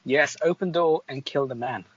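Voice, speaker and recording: A man playing through an adventure gamebook, reacting quickly with casual, jokey banter. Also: medium pitch, lo-fi sound, slight background noise. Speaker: player in a gamebook playthrough.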